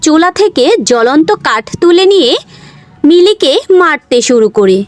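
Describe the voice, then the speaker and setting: A woman's voice: sits high, animated, normal speed, no echo. A woman narrating a scripted fairy-tale cartoon as a storyteller.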